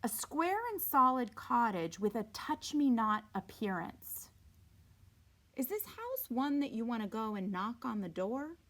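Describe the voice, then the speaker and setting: A woman reading a storybook aloud with deliberate, dramatic phrasing and informal asides, sometimes dropping to a hush. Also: on the high side, big pitch swings. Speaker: read-aloud reader.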